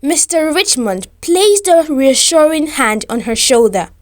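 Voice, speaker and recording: Lady narrator reading a love story, high pitched voice, careful formal storytelling. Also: sing-song, a little slow. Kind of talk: scripted romantic story narration.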